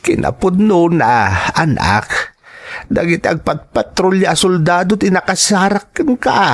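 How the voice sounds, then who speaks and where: An elderly Ilocano father in a radio drama. His voice sits high for a man and sounds husky. He is protective of his family and weighed down with grief. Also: heavily acted. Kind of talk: Filipino radio drama acting.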